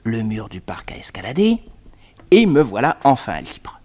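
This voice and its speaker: Young detective telling a suspenseful story, mid voice, vintage radio serial. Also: male voice, medium pitch, huge pitch swings, normal speed, a little mellow. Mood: lively, suspenseful. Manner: storytelling, suspenseful, addressing listeners. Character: jaunty young male detective telling his own adventure. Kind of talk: French radio serial narration, 1960s.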